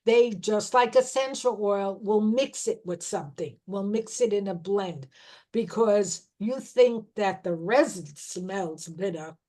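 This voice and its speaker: A middle-aged woman talking informally about herbal remedies, explaining slowly and restarting her sentences as she goes. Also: medium pitch, a little mellow. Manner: slow, unhurried explaining with restarts. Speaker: herbal educator.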